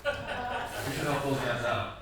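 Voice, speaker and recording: A man's voice: medium pitch, huge pitch swings, takes it slowly, distant mic, background noise. A young man in his twenties doing a stand-up set, rambling and conversational, full of "like"s and acted quotes. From Iraqi family, raised in the Midwest. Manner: loose, conversational storytelling with many "like"s and acted quotes. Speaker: stand-up comic. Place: tavern comedy room. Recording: echoey bar room recorded from afar.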